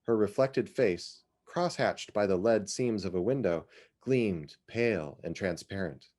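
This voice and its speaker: A man giving a dramatic reading of literary fiction, with expressive and deliberate phrasing. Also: lowish voice.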